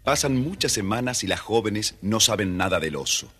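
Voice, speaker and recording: A man's voice: on the low side, lively intonation, normal speed, a bit bright, slightly rough. A man narrating a scripted children's story as the storyteller. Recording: faintly noisy old storybook record.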